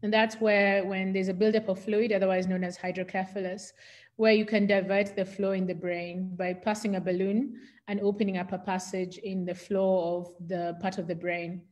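A middle-aged woman from Kenya speaks with a Kenyan accent as an expert guest. She has an articulate, explanatory manner, conversational and at a medium pitch. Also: little pitch movement, moderate pace, darkish tone. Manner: articulate, explanatory, defines medical terms. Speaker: neurosurgeon interview guest.

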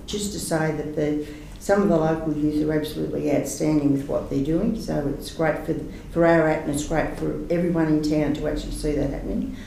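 A man's voice: fairly high pitch, vocal fry, warm tone, slight background noise. A man giving a rambling, earnest councillor's report at a council meeting. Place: large council meeting room. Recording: echoey meeting room from far off.

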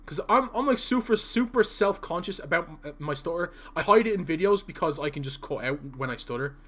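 A young Irish man streams from a small room at home, talking casually to his viewers as he plays. His voice sits a little high for a man, and he answers chat messages in a loose, rambling way. Now and then he trips over a word with a stutter. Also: lively intonation, brisk, a bit bright. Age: young adult. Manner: chatty, reads chat aloud, stutters at times. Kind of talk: casual live-stream chat while playing.

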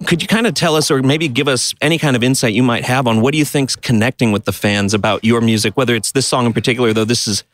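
A man's voice: medium pitch, quick pace, hardly any pauses, fairly bright tone, dry recording. A man hosting a music podcast backstage, upbeat and chatty, laughing often and asking long rambling questions. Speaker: podcast host. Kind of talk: casual backstage interview and recap. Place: backstage interview booth.

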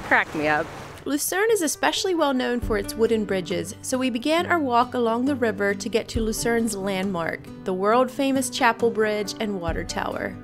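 A woman's voice: a little high, animated, normal speed. A woman in her thirties with a Pennsylvania Dutch accent, chatting casually into a handheld camera for her travel vlog. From Pennsylvania, USA. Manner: chatty, laughs often. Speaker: vlogger. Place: car and outdoors in a lakeside town. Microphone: handheld camera at arm's length. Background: background music.

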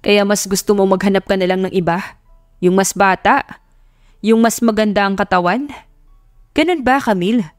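A woman's voice: medium pitch, moderate pace, long pauses, no room sound, studio quality, faint music behind, close to an everyday voice. A woman voicing a hurt, rejected husband in an audio love story, pleading and emotional. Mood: wounded, pleading.

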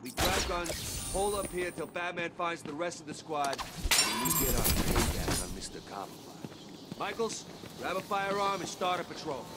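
An actor playing a tough, resolute police squad leader in a video game, blunt and earnest, with an American accent. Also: male voice, on the high side, expressive, normal speed, heavy background noise, close to an everyday voice. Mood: firm, rallying. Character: tough, resolute male police officer leading his squad.

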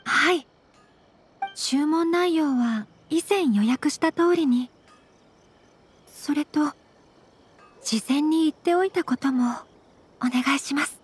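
A woman playing a young noble lady in an anime game, elegant and gentle, with formal, courteous speech. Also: high voice, slow pace, pauses often, faint music behind. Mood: courteous, composed.

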